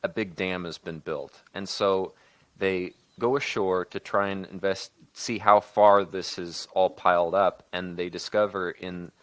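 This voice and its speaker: Male podcast co-host, low voice, dry close sound, pitches stories informally with frequent rewording. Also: fairly even intonation, no echo. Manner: halting, often backtracking and rewording mid-sentence. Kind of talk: casual creative discussion, improvised story pitch.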